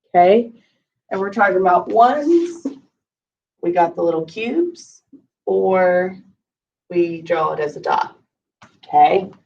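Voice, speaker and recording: A woman teaching first graders in a patient, unhurried way, counting out blocks at a very slow pace. Her voice is a little scratchy. She stands some distance from the mic, and the recording sounds dull and lo-fi. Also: on the low side, darkish tone. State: slightly scratchy from allergies. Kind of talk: recorded math lesson for young kids. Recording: lo-fi, voice a bit far from the mic.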